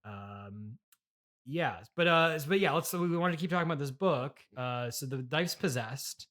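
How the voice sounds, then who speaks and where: A man interviewing a guest on a comedy podcast. His voice is fairly high and bright, and he speaks haltingly, circling back on his questions in a bemused, apologetic manner. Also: animated.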